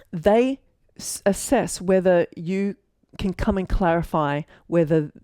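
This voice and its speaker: A woman's voice: on the low side, slow, mellow, dry recording, studio quality. An Australian woman in her forties, an architect, telling her story casually with many fillers and self-corrections. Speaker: architect and mentor.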